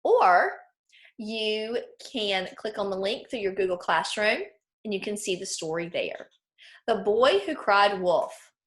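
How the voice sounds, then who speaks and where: A woman teacher with a medium-pitched voice leads a read-aloud lesson for children. She explains each point clearly and often turns to her listeners with questions. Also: animated, measured pace.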